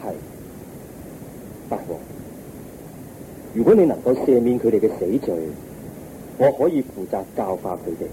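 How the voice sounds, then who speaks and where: An actor playing a calm, compassionate holy teacher, speaking in measured, solemn Hong Kong Cantonese in a radio drama. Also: male voice, medium pitch, a little hiss or hum, lightly acted, rough recording.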